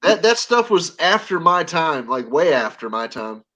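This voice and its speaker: A woman's voice: low voice, sing-song, normal speed, fairly bright tone. Joking talkative woman in her forties. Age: 40s. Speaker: live-stream guest. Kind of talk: casual chat.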